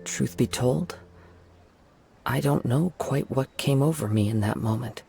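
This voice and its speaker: Calm courteous young samurai. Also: male voice, medium pitch, a little slow, gravelly. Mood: composed. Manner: calm, formal, soft-spoken. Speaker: wandering samurai. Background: game music.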